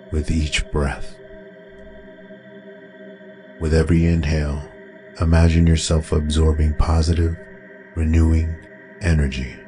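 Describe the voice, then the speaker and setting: Very deep, slow male meditation guide. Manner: calm, soothing, unhurried delivery. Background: meditation music with singing bowl tones.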